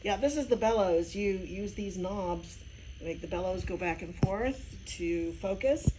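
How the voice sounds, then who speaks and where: Woman, mid-pitched voice, artist in an interview, chatty, enthusiastic, casual explaining, slight background noise. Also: unhurried.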